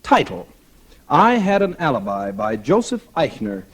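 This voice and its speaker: Cocky 1940s radio newspaperman, husky voice, slangy and wisecracking, restless would-be novelist. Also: medium pitch, wildly swooping pitch, measured pace, full character voice. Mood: reflective, narrating. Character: cocky, restless male newspaper reporter and aspiring crime novelist. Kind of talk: 1940s radio drama acting. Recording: old 1940s radio recording.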